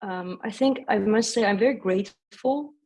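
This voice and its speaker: A woman's voice: mid-range voice, moderate pace, darkish tone, slightly rough. A woman from Iran with an Iranian accent and Persian as first language, speaking personally and effusively as a panel guest. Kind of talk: conversational personal reflection.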